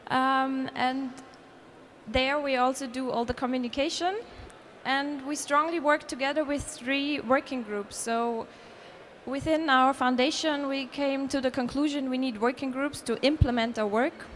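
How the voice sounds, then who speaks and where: High-voiced Austrian woman presenting. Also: steady tone, a little slow, a little hiss or hum. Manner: earnest, with restarts and self-corrections. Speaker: conference presenter. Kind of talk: conference presentation. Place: conference hall.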